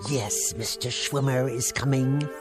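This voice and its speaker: An actress playing a sharp-tongued woman who talks in a sarcastic, biting way in a radio drama. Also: a bass voice, fairly even intonation, measured pace, music in the background.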